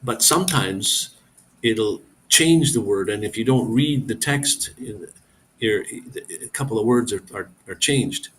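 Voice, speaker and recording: A man's voice: lowish voice, expressive, measured pace, a bit bright, slight background noise. A middle-aged man hosting a casual live stream, rambling as he reads viewer comments aloud and answers them.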